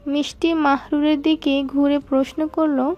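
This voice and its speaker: A woman's voice: sits high, level tone, measured pace, highs cut off, faint music behind. A woman narrating a scripted romantic story.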